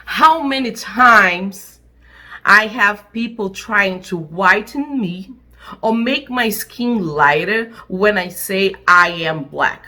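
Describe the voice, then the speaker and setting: A woman who is an attorney and an immigrant, giving an impassioned personal statement in English, her second language, in short, deliberate, emphatic phrases. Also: medium pitch, pushed and bright. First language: not English.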